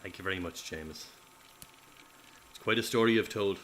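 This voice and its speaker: A man's voice: low voice, normal speed, a bit bright, slight background noise. A man with an Irish accent playing a polite, chatty interviewer who records veterans' statements in a radio play. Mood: courteous. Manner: courteous, chatty.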